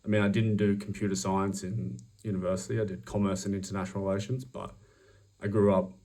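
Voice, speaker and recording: A young Australian man pitching himself for a job in a fairly low voice, picked up from some distance away from the mic. He sounds upbeat and self-promoting, stumbling through frequent ums and restarts as he works from his prompts. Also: little pitch movement, fast, dark tone. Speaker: job applicant.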